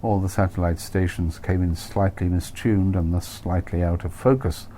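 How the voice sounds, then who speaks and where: A man's voice: sits low, steady tone, moderate pace, warm tone, a little hiss or hum. A man reading his own first-person novel aloud as an audiobook, in a wry, dry manner.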